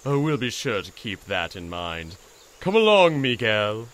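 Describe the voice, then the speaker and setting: An actor voicing an enormous talking toad, dignified, cryptic and dryly witty, with formal, measured speech in an audio drama. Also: male voice, lowish voice, sing-song, a bit bright, a little hiss or hum. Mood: cool, authoritative.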